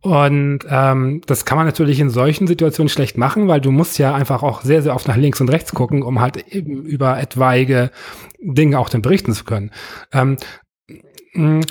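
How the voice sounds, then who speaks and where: A man interviewing a guest in a relaxed conversation, pausing often with fillers and restarting his sentences. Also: medium pitch, breathy edge. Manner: hesitant, many fillers and restarts. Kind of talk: casual interview.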